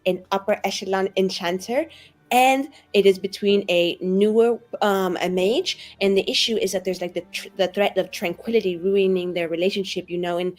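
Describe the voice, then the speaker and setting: A woman's voice: medium pitch, fairly even intonation, moderate pace, a little mellow, lightly acted. A woman playing a snobbish, spiteful mage who writes illicit romances, talking in a casual, sardonic modern way. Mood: smug, sly.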